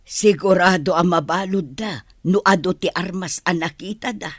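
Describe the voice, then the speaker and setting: A man acting in an Ilocano radio drama as a gentle, moralizing village parent who gives earnest advice. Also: high-pitched, normal speed, no echo, clean studio sound. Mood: concerned.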